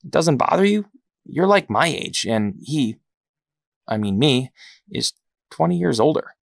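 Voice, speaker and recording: Cocky, regretful young hero man performing, audio drama: creaky, casual, halting delivery. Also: a little high, big pitch swings, no echo, clean studio sound, heavily acted. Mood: curious, cocky. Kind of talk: amateur audio drama acting.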